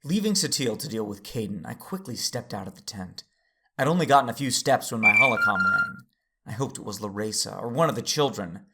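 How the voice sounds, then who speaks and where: A man playing an earnest, worried Jedi father who tells his story in serious, deliberate audio drama narration. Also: fairly low pitch, lively intonation, sharp tone. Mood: reflective, serious. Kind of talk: first-person audio drama narration and dialogue.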